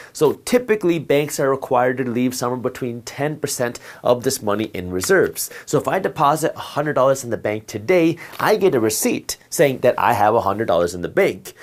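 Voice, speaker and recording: Guy whose first language is punjabi walking thru a money diagram, pitch bouncing all over, mid-range voice. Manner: step-by-step explanation with worked examples. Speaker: finance educator. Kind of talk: explaining with a drawn diagram.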